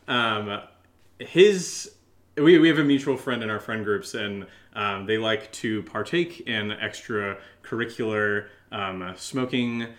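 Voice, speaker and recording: Slow-paced man in halting casual chat. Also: on the low side, fairly bright tone. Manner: halting, hesitant storytelling. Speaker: podcast co-host.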